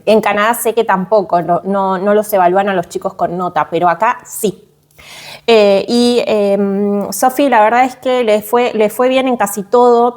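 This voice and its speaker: A woman in her thirties with an Argentine Spanish accent chats to the camera at home in a casual vlog. Her voice sits at a middle pitch for a woman. She talks loosely, with plenty of fillers and self-corrections. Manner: chatty, many fillers and restarts. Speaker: vlogger and mother. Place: at home, indoors.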